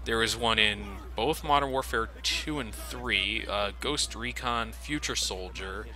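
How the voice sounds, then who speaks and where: Sharp, bright-voiced sardonic man doing game commentary. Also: fairly low pitch, a little slow, poor audio. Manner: sardonic, profane. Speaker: let's play host.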